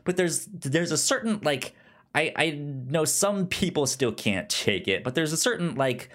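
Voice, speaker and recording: A man co-hosting a film podcast in casual conversation, halting and often repeating the starts of words. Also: a little high, expressive, a bit bright. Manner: halting, stammering, repeats word beginnings. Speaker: podcast co-host. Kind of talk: casual film discussion chat.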